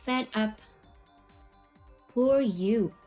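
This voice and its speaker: Woman, language teacher, very slow delivery, demonstrates phrases, echoey room. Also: on the high side, a little mellow, soft background music. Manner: speaks slowly and deliberately, demonstrating phrases. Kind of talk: slow, careful lesson explanation.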